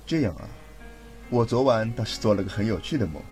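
A man's voice: on the low side, expressive, brisk, a little mellow, soft background music, lightly acted. An actor playing a tipsy, laid-back young man adrift at sea in an audio drama, wry and casual in manner. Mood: wistful, wry.

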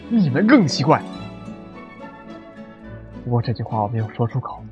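A man's voice: medium pitch, big pitch swings, normal speed, highs cut off, lightly acted. An actor playing a bewildered lawyer in his thirties, a stranger in another world, earnest and hesitant in a radio drama. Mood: uneasy. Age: 30s. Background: background music.

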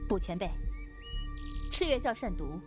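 A woman's voice: medium pitch, very animated, unhurried, over music. A woman playing a young, principled swordswoman who speaks politely, formally and earnestly in a wuxia radio drama. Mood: firm, courteous. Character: courteous, principled, bold young female swordswoman healer.

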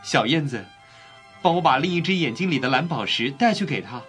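A male actor playing a gentle, compassionate, sorrowful young prince in a fairy-tale drama, with an earnest, pleading, tender delivery. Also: fairly high pitch, lively intonation, brisk. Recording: faint music bed. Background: faint music.

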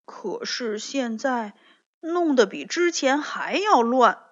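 A woman voicing an excitable, hot-tempered young rabbit in a children's story, with an emphatic, child-like delivery. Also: slow pace, lo-fi sound, full character voice. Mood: ashamed. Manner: loud, high, emphatic.

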